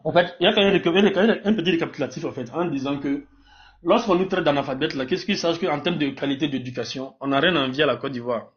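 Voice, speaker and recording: A Burkinabé man debates politics at a very quick pace, in a slightly high voice. He speaks emphatically, repeats himself and trips over words. The live stream sound is dull. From Burkina Faso. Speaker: debate participant.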